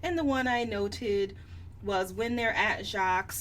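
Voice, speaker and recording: A woman's voice: medium pitch, a little slow, a bit bright. A woman co-hosting a podcast, giving casual book critique in a blunt, opinionated way. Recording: distant mic, faint background noise.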